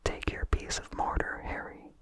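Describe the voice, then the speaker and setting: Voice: normal speed, lightly acted. A reader voicing an earnest, old-fashioned male village rector from a horror story, entirely in a whisper. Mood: appalled. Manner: formal, old-fashioned speech. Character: village rector, a man, earnest, easily appalled.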